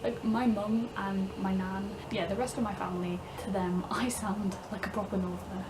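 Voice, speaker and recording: A woman's voice: mid-range voice, steady tone, moderate pace, noisy background. A young woman from the English Midlands with a mixed northern and southern English accent, rambling casually to camera with frequent laughs and "like"s. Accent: English Midlands-northern with southern vowels. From England, north West Midlands. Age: young adult. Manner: chatty, laughs often, frequent "like". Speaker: vlogger. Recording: distant mic, clear room ambience.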